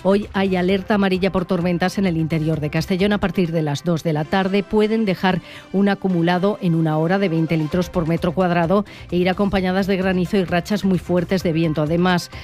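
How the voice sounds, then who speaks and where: A male radio news presenter with a Spanish accent reads a scripted regional bulletin. His voice sits quite high for a man, over a faint bed of music. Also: steady tone, a little fast, a little mellow. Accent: Spain. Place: radio studio.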